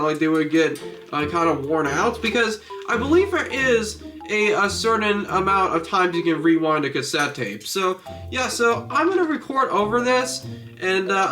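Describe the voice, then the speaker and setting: A man's voice: sits high, bright, forceful tone. A man talking casually and hesitantly in a rambling, informal vlog while demonstrating something. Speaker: video creator.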